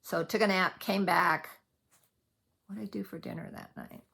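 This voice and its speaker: Casting: middle-aged Midwestern American woman, lowish husky voice, rambling casual vlogger delivery. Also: steady tone, normal speed, sharp tone. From Colorado, United States. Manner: rambling, often backtracks mid-thought. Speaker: needlework vlogger. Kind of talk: casual chatty vlog recap.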